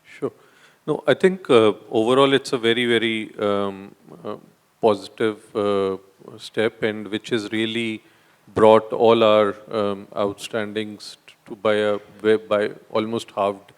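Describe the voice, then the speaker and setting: A man's voice: on the low side, level tone, slow pace, clean tone. A man, a wind project developer on a panel, explaining point by point with fillers. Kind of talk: panel discussion. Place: conference hall.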